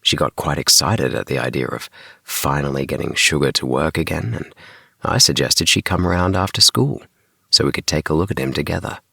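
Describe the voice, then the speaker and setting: A man's voice: a bass voice, a little fast, vocal fry, pushed and bright, no room sound. A man narrating a children's sleep story as an audiobook, recorded close up in a small booth. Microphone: close mic in DIY recording booth.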